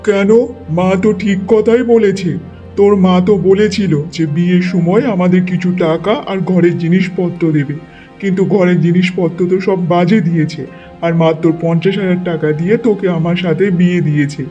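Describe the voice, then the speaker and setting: Casting: man as unsympathetic, demanding young husband for cartoon drama, high but mellow voice. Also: steady tone, fairly quick, dull audio, faint music behind, lightly acted. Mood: cold, demanding. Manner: curt, demanding. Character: cold young husband siding with his mother. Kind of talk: cartoon moral story acting.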